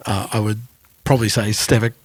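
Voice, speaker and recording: A man's voice: fairly low pitch, little pitch movement, brisk. A man co-hosting a podcast, telling anecdotes in a casual, informal way. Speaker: podcast co-host. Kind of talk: casual music podcast chat.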